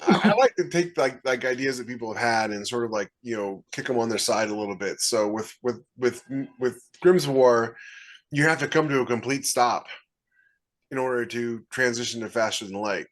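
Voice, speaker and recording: A man's voice: medium pitch, moderate pace. A man co-hosting a writers' podcast over a video call, explaining his ideas conversationally. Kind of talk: casual panel discussion.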